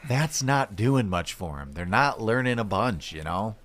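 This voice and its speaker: A man's voice: fairly low pitch, expressive, a little slow, a bit bright. Casual, joking male podcast co-host. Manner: rambling, joking, conversational. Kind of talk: casual comic banter.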